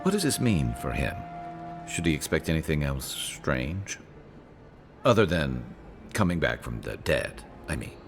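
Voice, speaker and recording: Game companion, male: extremely low bass voice, dry wit, loyal, plain-spoken, music behind. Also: big pitch swings, a little slow, full character voice. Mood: concerned. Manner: wry, matter-of-fact. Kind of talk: video game character dialogue.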